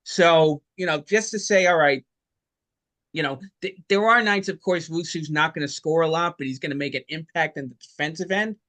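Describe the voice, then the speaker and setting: A man's voice: a little high, normal speed, pushed and bright. A man co-hosting a sports podcast, giving conversational basketball analysis in an emphatic, explanatory way.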